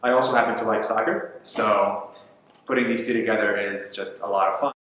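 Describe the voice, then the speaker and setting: A man in his twenties from Israel, a university student, introducing himself in a relaxed way with some hesitant fillers. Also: lowish voice, brisk. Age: 20s. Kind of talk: self-introduction. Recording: very echoey room.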